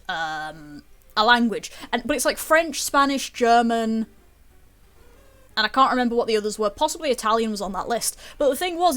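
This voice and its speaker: Young woman, high voice, casual stream chat, swears a lot, laughs often. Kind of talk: casual chat while gaming.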